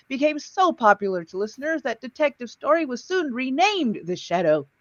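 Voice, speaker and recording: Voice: mid-range voice, no echo. Casual host with a dull, lo-fi mic. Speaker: host of an old-time radio show stream. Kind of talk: casual live hosting and reading aloud.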